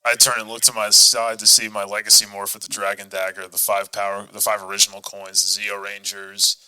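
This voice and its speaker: A man's voice: lowish voice, monotone, brisk, pushed and bright, smooth, rough recording. An American man in his thirties hosting a podcast in a casual, enthusiastic way. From United States. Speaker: podcast host.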